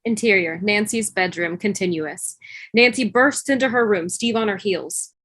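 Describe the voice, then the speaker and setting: A woman's voice: mid-range voice, moderate pace, fairly bright tone, no room sound. A woman reading scripted stage directions as narration on a video call. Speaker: stage-direction reader in a table read.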